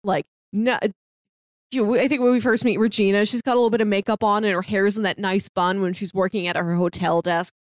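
A woman's voice: mid-range voice, fairly even intonation, brisk, no room sound, clean studio sound. A woman co-hosting a podcast in casual chat, talking chattily and qualifying her opinions as she goes. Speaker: podcast co-host. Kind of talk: casual chat about a TV drama.

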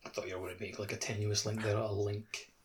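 A man's voice: on the low side, flat delivery, fast, a little hiss or hum. A man co-hosting a casual podcast chat, using a loose, filler-heavy conversational phrasing. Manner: peppers speech with "like".

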